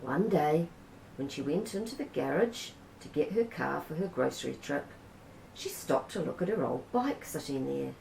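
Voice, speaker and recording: A woman with a New Zealand accent reading a children's picture book aloud in a gentle, unhurried way. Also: low voice, animated, vocal fry, a little hiss or hum. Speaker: storybook reader. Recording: roomy echo.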